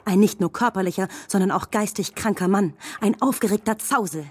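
Woman acting cold strategist, husky, mellow-dark timbre, clinical, scornful, methodical, radio drama. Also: mid-range voice, fairly quick, natural voice. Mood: coolly calculating. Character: cold calculating female campaign strategist.

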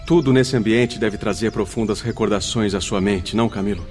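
A male actor plays a steady, compassionate Brazilian spirit comforting a friend in a radio drama. The voice is low, earnest and formal. Faint music sits behind it. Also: fairly quick, lightly acted. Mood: consoling. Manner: consoling, earnest, formal diction.

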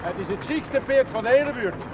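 An actor playing an eager village horse seller bargaining in a television drama. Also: male voice, very high voice, lively intonation, fairly quick, muffled recording. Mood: selling eagerly. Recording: distant, noisy outdoor TV soundtrack.

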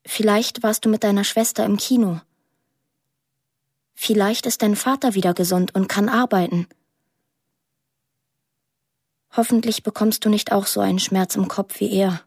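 An actress voicing a lonely, imaginative eight-year-old girl in a radio drama monologue, plain, deliberate and earnest. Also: medium pitch, steady tone, darkish tone, breathy edge, no echo, clean studio sound. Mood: tender, hopeful.

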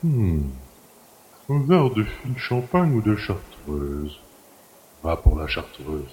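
A man's voice: fairly low pitch, big pitch swings, takes it slowly, warm tone, slight background noise. A man playing a cheeky, familiar manservant in an audio drama, chatty and mocking. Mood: self-indulgent. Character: cheeky, familiar manservant who helps himself to master's luxuries. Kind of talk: French audio drama acting.

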